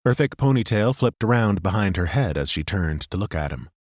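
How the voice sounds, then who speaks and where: A man narrating a fantasy audiobook in a steady, even storytelling manner. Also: on the low side, fairly quick, no echo, clean studio sound.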